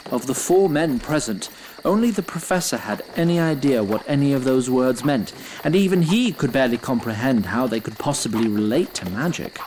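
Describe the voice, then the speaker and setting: A man's voice: mid-range voice, lively intonation, fairly quick, a little mellow, a little hiss or hum, lightly acted, low-quality recording. A man playing an ancient immortal storyteller, narrating a fantasy audio drama with theatrical, wry asides. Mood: measured.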